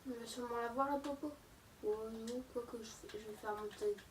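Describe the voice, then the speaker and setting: A woman's voice: a little high, fairly even intonation, brisk, reverberant, recorded from a distance, a little hiss or hum, poor audio. A young woman with a slight cold, chatting to her viewers through a game with lots of fillers. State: has a cold, sore throat. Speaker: gaming YouTuber. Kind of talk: casual gameplay commentary.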